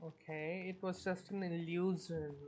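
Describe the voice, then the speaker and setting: Unhurried woman streaming a game. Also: steady tone, a little mellow. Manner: low-key, thinking aloud. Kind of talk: casual live gameplay commentary. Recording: faint game noise behind voice. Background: game sound.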